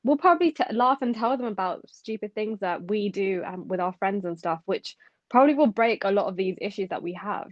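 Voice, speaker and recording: A woman's voice: mid-range voice, expressive, brisk, no echo. A young woman from London co-hosting a podcast, thinking aloud in casual chat with lots of fillers. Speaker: podcast co-host. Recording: dull dry lo-fi sound.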